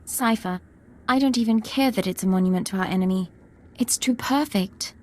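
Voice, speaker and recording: A woman's voice: medium pitch, normal speed, darkish tone, natural voice. A woman playing an eager young military ensign in a video game, with an enthusiastic manner. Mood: awed, excited. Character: eager, starry-eyed young female Imperial ensign companion. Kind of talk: video game cutscene acting.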